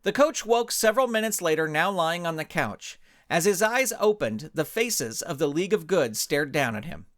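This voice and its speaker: A man reads scripted story narration. His voice sits fairly high and swings widely in pitch. He delivers it as a storyteller moving through an adventure. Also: lively intonation, moderate pace, hardly any pauses, a bit bright. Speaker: author and narrator.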